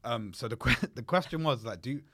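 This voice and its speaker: A man's voice: mid-range voice, a little fast, bright, forceful tone. A man, hosting a casual chat, blunt and slangy, often laughing. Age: 20s. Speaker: podcast host.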